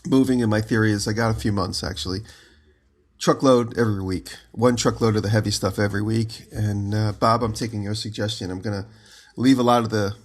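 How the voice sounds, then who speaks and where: Guy with a low groggy morning voice, rambling podcast co-host calling in from home. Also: fairly even intonation, a little fast. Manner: rambling storyteller, giggly. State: just woke up, voice lower than usual. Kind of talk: casual chat. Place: his home.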